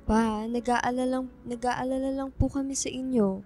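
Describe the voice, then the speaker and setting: A woman's voice: sits high, fairly even intonation, moderate pace, warm tone, slight background noise, close to an everyday voice. A woman playing an anxious, devoted school-age daughter in a radio drama, speaking politely and pleadingly. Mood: worried, pleading.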